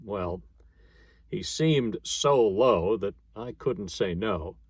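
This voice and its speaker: A man narrates a dramatic poem at a slow, measured pace. His voice is somewhat husky, recorded dry without room sound. The delivery is rhythmic, in the manner of a storyteller. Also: fairly low pitch, animated, dry recording. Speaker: narrator, poetry reader. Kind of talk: dramatic narrative poetry reading.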